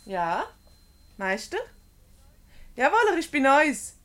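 A woman's voice: high voice, very animated, slow, background noise, full character voice. An actress playing a self-assured, playfully scheming married woman, wry and breezy, in a Swiss German dialect radio comedy. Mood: brisk, persuasive.

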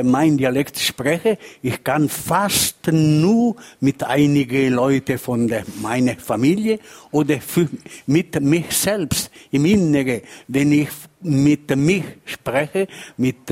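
An older man from Ticino with an Italian accent, speaking halting, imperfect German as a guest writer in a panel talk, searching for words. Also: medium pitch, a little mellow. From Ticino, Switzerland. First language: Ticinese Lombard dialect.

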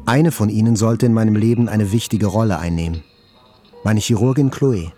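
A man's voice: fairly low pitch, moderate pace, mellow, lightly acted. An actor playing a composed male patient, recounting his past matter-of-factly as a literary audio drama narrator. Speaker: first-person narrator. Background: faint music.

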